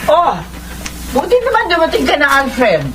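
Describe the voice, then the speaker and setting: A woman acting a middle-aged mother, motherly and expressive, in a Filipino radio drama. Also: sits high, normal speed, background noise. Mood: caring. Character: middle-aged mother, caring but bitter.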